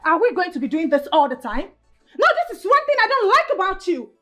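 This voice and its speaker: A woman's voice: quick pace, faint music behind, close to an everyday voice. A woman with a Nigerian accent playing a fiery young woman who angrily confronts her jealous boyfriend in a comedy skit. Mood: angry. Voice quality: shrill, indignant.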